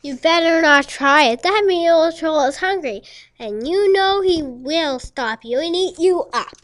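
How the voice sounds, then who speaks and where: A woman's voice: extremely high pitch, animated, unhurried, fairly bright tone, heavily acted. A woman playing a protective big billy goat, the cautious older brother in a storybook tale, earnest and scolding. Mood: worried, warning.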